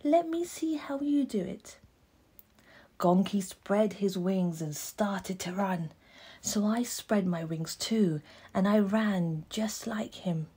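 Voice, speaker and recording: A woman narrating a children's picture book as a read-aloud, expressive and measured, with pauses. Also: mid-range voice, husky. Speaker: audiobook narrator.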